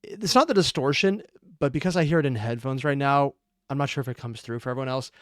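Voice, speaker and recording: A man's voice: mid-range voice, fast, fairly bright tone, dry recording, clean studio sound. A man giving casual, off-the-cuff reaction commentary. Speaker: reaction video host.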